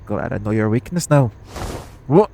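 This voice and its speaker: A man's voice: fairly low pitch, lively intonation, moderate pace, creaky, mellow, slight background noise. A man playing a cocky, teasing, foul-mouthed hitman in an audio roleplay. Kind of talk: audio roleplay acting addressed to the listener.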